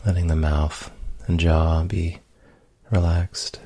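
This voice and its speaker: A man leading a guided meditation in a gentle, unhurried manner with long pauses. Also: deep voice, flat delivery, no echo. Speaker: meditation teacher.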